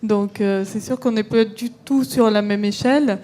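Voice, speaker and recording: A woman's voice: mid-range voice, fairly even intonation, moderate pace, mellow, slight background noise. A woman panelist answering audience questions at a conference, explaining carefully with many hesitations and restarts.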